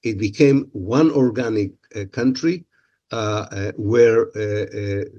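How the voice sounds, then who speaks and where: A man's voice: fairly low pitch, takes it slowly, mellow, muffled recording. A man whose first language is Hebrew, from Israel, answering interview questions with many filler sounds and restarts.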